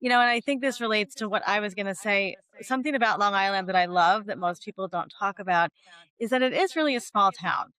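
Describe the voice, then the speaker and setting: A woman's voice: mid-range voice, quick pace, fairly bright tone, dry recording, poor audio. A woman speaking as a divorce expert guest, keen and thoughtful in her answers. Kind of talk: casual panel chat.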